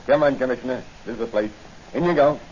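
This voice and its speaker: Cynical male gangster an actor, curt and coldly commanding, medium pitch, vintage radio serial with dulled sound. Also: quick pace, lightly acted. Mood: sardonic. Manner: curt, sardonic. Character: cool cynical male racketeer giving orders, coldly taunting. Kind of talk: 1940s radio acting. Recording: muffled noisy 1940 radio recording.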